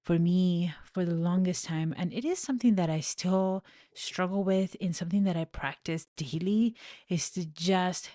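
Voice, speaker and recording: A woman's voice: on the low side, no room sound, studio quality. A pregnant woman in her thirties from Venezuela, hosting a faith-based coaching podcast in an earnest, heartfelt way. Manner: earnest, heartfelt, with soft laughs. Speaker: podcast host, life coach. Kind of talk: personal reflective podcast talk.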